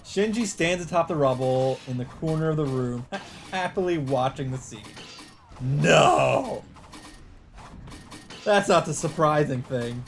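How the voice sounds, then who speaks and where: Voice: medium pitch, expressive, measured pace, creaky, pauses often. A let's player reading a visual novel aloud in dramatic narration, breaking off for casual asides and exclamations.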